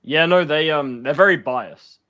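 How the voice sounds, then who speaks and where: Guy with a slightly high voice ranting about football coverage on a call. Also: measured pace, sharp tone, dull audio. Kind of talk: casual chat.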